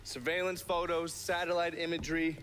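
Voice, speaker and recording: An actor playing a hard-bitten, sardonic, foul-mouthed intelligence operative in a video game. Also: male voice, a little high, little pitch movement, brisk, fairly bright tone, natural voice. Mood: grim, explanatory. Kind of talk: video game cutscene acting.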